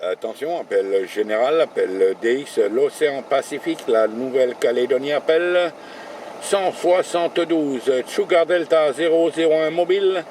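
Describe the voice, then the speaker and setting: Higher-pitched man on mobile radio, noisy background. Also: normal speed. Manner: spells out call signs in radio jargon. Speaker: amateur radio operator. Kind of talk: radio contact calls and chat. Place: in a vehicle, mobile station.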